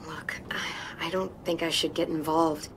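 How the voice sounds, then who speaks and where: A woman playing a calm, level-headed teenage girl survivor in a game drama, measured and matter-of-fact. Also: low-pitched, slight background noise. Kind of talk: naturalistic video game drama acting.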